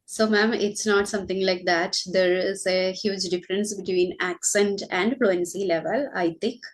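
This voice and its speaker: Indian woman, thoughtful, pausing speech. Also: mid-range voice, steady tone, slight background noise. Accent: Indian English. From Kerala, India. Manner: thoughtful, pauses often with fillers to gather thoughts. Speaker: school teacher. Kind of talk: casual podcast conversation.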